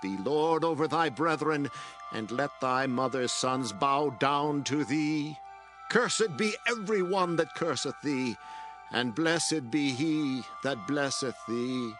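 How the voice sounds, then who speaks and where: A man's voice: medium pitch, takes it slowly, music in the background, close to an everyday voice. An actor playing an aged, solemn patriarch father in a dramatized scripture reading, speaking with emotion. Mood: solemn blessing. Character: aged patriarch father, solemn, trembling, blessing his son.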